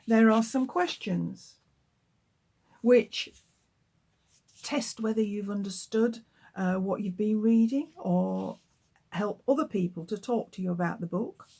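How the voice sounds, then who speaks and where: Husky-voiced woman reading a children's story. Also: medium pitch, slow, pauses often. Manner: lively, expressive storytelling. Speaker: children's author. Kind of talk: reading her book aloud.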